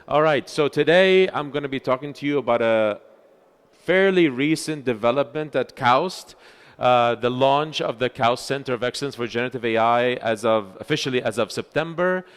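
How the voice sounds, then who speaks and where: A man giving a conference talk as chair of a research center, speaking loudly into a microphone with frequent filler sounds and restarts. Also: medium pitch, normal speed, clean tone. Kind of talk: conference presentation. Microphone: handheld or stage microphone.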